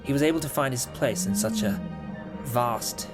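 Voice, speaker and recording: Man playing a lively time-travelling alien adventurer. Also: medium pitch, moderate pace, natural voice. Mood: brisk, upbeat. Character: brisk, upbeat, mysterious male time-travelling alien adventurer. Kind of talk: fan audio drama acting. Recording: loud music behind.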